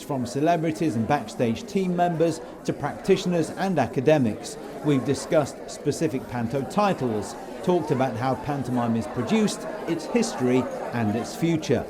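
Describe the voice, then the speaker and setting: Male podcast presenter, slightly high-pitched, scripted delivery with people chattering behind. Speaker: podcast host. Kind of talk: scripted podcast narration and interviewing.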